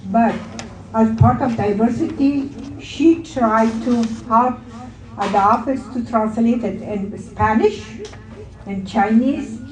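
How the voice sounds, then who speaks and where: Echoey room, a bit far from the mic, afghan woman w farsi as first language reading out a speech, mellow voice, halting here and there. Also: a little high, slight background noise. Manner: halting in places, with slightly unidiomatic English. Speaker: community liaison speaking at an event. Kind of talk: prepared public speech. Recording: roomy echo, distant from the mic.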